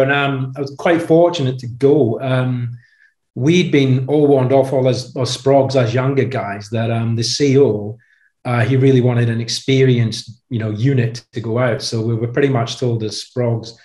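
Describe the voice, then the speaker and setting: Male guest, 50s, mid pitch, chatty anecdotal storyteller, webcam audio with room echo. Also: normal speed. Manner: chatty, animated anecdotes with frequent "you know". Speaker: podcast guest, former commando. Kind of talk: casual storytelling chat. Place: indoor room with a brick wall. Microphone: video call webcam. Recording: roomy echo.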